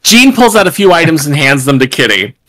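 A man's voice: on the high side, sing-song, fairly quick, a bit bright. A man doing a comedic live table read on a video call from home, irreverent and sweary. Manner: irreverent, sweary, breaks into laughter. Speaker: podcast host.

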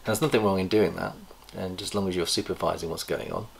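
Brief: middle-aged man, low gravelly voice, enthusiastic breeder chatting to camera, faint room noise. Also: fairly even intonation. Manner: chatty, enthusiastic, pauses to recall details. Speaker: snake breeder host. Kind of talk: casual show-and-tell vlog. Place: indoor reptile room lined with tubs. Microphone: camera across a table.

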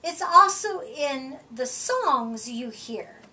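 A middle-aged woman reading a rhyming picture book aloud to children, with deliberate, expressive emphasis, recorded a short way from the mic. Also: high-pitched. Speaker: children's storytime reader. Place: indoor room, seated before a curtain. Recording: voice distant from the microphone.

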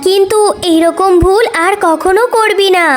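A magical talking tree from a children's cartoon, voiced by a woman. It is stern and threatening in a high voice, with music behind it. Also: steady tone, normal speed. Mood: stern threat. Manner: threatening, punishing.

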